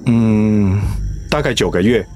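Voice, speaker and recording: A man playing a calm, tactful doctor in a radio drama, measured, professional and reassuring. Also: lowish voice, faint music behind.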